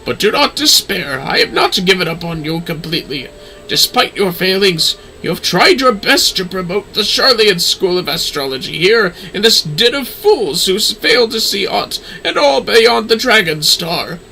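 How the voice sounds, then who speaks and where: A man's voice: high voice, sing-song, moderate pace, creaky, bright, forceful tone, a little hiss or hum, full character voice, poor audio. A man voicing a theatrical, grand older professor of astrology from a game, speaking in a lofty, formal way. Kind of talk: game dialogue read-aloud.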